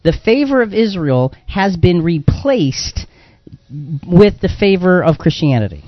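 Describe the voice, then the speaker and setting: A man's voice: high voice, sing-song, measured pace, no echo. A man hosting a conversational religious discussion show. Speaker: host of a Bible discussion show. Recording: dull, lo-fi audio.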